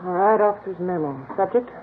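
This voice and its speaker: An American woman playing a brisk, helpful police records stenographer, casual and economical, in a 1950s radio drama. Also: medium pitch, lightly acted. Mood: businesslike. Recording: muffled, noisy old radio recording.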